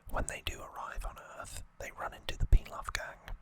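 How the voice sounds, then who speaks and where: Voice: moderate pace, fairly bright tone. An ASMR host whispering an unscripted, slightly hesitant retelling, close to the microphone. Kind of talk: whispered ASMR trivia recap.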